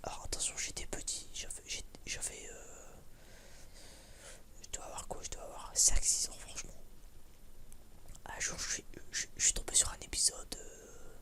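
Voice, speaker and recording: A man's voice: normal speed, pauses often. A young man giving a casual ASMR chat in a whisper, rambling and hesitant, in informal, slangy words. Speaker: ASMR creator.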